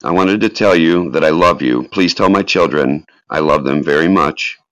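A man voicing an anguished woman in danger, pleading and halting, in a dramatic reading of last phone calls. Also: rumbling low, a bit bright, no room sound, rough recording. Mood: tearful, loving.

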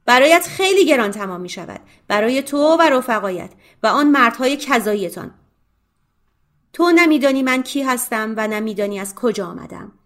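A woman voicing a menacing blind male gang leader who gives orders and threats in loud shouts, in an audiobook. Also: a little high, animated, voice pushed up. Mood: threatening.

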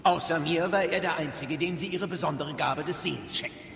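A man's voice: on the high side, brisk, gravelly, a bit bright, slight background noise. A man playing a chatty, wise, wry guide companion who explains things in a video game audio drama. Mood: wry, musing. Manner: talkative, explanatory.

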